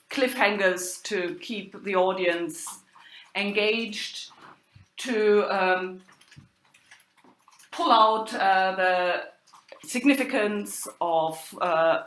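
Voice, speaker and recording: A woman giving an academic lecture as a university lecturer, speaking haltingly and thinking aloud. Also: medium pitch, sharp tone. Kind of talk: academic lecture on medieval drama. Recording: roomy, echoing sound.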